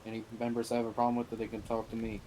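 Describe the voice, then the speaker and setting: A man playing a commanding leader of a survivor faction in improvised online roleplay, lecturing deliberately and swearing. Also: medium pitch, monotone, mellow, natural voice. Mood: stern, commanding. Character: stern male boss of a survivor faction, commanding. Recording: noisy amateur online game voice chat.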